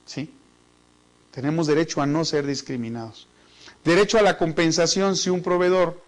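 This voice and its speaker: A man with a Mexican accent teaching a business class in a chatty, joking way, often repeating words as he thinks. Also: fairly high pitch. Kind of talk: online business class lecture.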